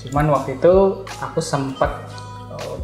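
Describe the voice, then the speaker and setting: A man's voice: mid-range voice, fairly even intonation, moderate pace, darkish tone. A young man explaining things in a casual chat as an interview guest. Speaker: interview guest, backend developer. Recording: roomy echo, music behind voice. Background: background music.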